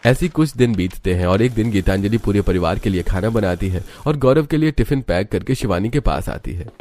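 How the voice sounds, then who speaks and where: A man's voice: lowish voice, animated, fairly quick, darkish tone. A man narrating a scripted story in the style of a storybook.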